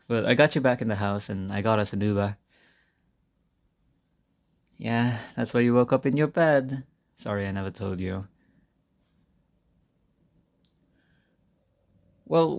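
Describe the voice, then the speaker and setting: A man playing a shy, earnest high school senior in an intimate roleplay, talking softly and hesitantly to his crush. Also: gravelly, lightly acted. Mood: tender, confessing. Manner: soft-spoken, hesitant, conversational with sighs and small laughs. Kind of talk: intimate roleplay audio monologue to a listener. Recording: amateur recording without a proper microphone.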